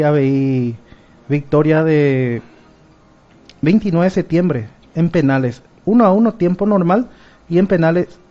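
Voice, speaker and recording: A man's voice: a little high, mellow, dull audio. A man with a Paraguayan accent presenting sports results on radio, mixing Guarani into his Spanish. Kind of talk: radio news chat.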